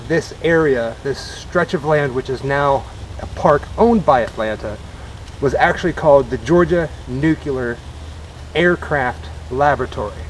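Young guy, chatty explorer vlogger, handheld camera in the woods, noisy audio. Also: a little high. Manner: chatty, swears when startled. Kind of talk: casual exploring vlog. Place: woods and dark concrete ruins outdoors. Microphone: handheld action camera.

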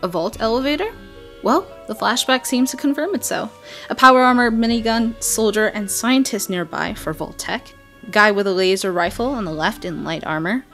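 A woman hosting a game video, giving an excited, chatty, emphatic voice-over breakdown. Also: on the high side, normal speed. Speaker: video game channel host. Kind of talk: game trailer breakdown voice-over, semi-scripted. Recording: voice-over with background music. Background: music.

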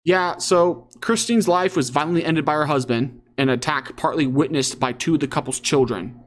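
A man's voice: on the high side, moderate pace. A man hosting online commentary, reading news aloud and reacting casually.

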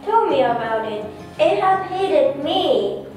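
A woman's voice: high voice, animated, slow, a little mellow, heavy reverb. Puppet show prophet voiced by a woman: resigned, self-deprecating, distant mic with music behind. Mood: resigned, amused. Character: overlooked, resigned, plain-speaking prophet puppet. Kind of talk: children's church puppet show.

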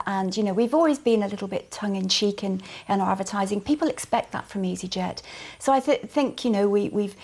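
A middle-aged woman speaks as an airline manager in a TV studio. Her answers are fluent and corporate, with lots of ums, and her voice sits in an average pitch. She sounds close to a clip-on mic. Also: vocal fry, darkish tone. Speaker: airline commercial manager, guest. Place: small TV studio set.

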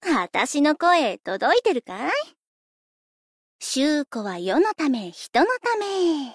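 A woman's voice: high voice, very animated, takes it slowly, no echo, clean studio sound, exaggerated voice. A woman playing a playful, laid-back young pop idol in scripted game lines, coaxing and lightly teasing in a breezy way.

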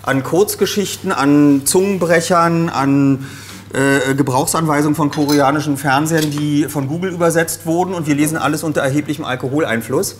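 A middle-aged man chatting casually as a guest in a studio kitchen, relaxed and pausing often with fillers. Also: fairly high pitch, a little hiss or hum. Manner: relaxed, hesitant with many fillers. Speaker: guest, voice actor. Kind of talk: casual chat while cooking.

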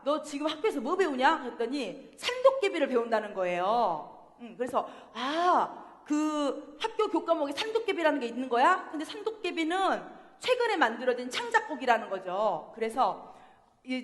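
A woman in her thirties with a Jeolla accent, a pansori singer leading a playful lesson in a university hall, laughing often and coaching the audience. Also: high voice. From Jeolla, Korea. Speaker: pansori singer teaching a class. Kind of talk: lively workshop lesson with sung demonstrations. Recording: long hall reverb from a concert hall.

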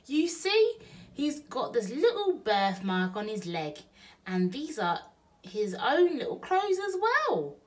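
A woman's voice: on the high side, wildly swooping pitch, takes it slowly, distant mic, pitched above the performer's own voice, full character voice. A woman voicing an exclamatory, colloquial working-class lady burglar in a storybook reading. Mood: excited. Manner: colloquial ungrammatical speech, exclamatory.

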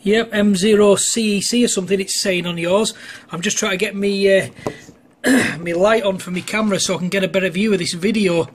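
A man's voice: high-pitched, fairly even intonation, a little fast, slight background noise. A man chatting casually as an amateur radio operator, recorded indoors at his radio desk. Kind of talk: casual two-way radio chat.

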